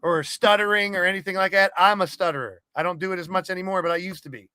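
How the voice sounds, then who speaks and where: A man's voice: sits high, quick pace, bright, forceful tone, no room sound, studio quality. A man hosting a gaming podcast, talking casually to his community in a blunt, rambling, sweary way. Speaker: podcast host and wrestling game streamer. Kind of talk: casual monologue to an online community.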